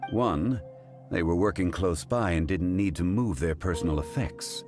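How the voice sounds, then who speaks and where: An actor playing a composed, learned man who reasons calmly and formally in a game. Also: deep voice, lightly acted. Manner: calm, formal, measured reasoning. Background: soft game music.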